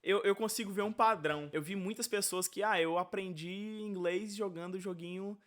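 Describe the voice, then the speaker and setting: A man hosting an interview, talking in a relaxed, friendly way. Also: sits high, moderate pace. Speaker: interviewer and channel host. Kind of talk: casual interview chat.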